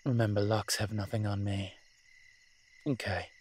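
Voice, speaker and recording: Guy doing a scheming playful demon dude for an audio rp, lowish voice, really takes his time, teasing. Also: level tone, darkish tone, a little hiss or hum, lightly acted. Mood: playful, confident. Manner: sly, teasing, unhurried, conversational. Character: smooth-talking roguish male incubus demon, playful and scheming. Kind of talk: roleplay audio drama.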